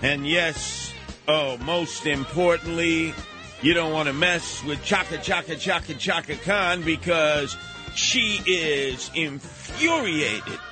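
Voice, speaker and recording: A man hosting a radio talk show, emphatic and theatrical, repeating words for effect in an animated, opinionated style. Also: a little high, slow, over music.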